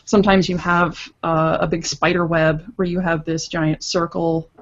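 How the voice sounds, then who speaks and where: A woman's voice: on the low side, little pitch movement, normal speed, highs cut off. A woman guest explaining things casually over an internet video call. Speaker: guest interviewee, visual novel writer.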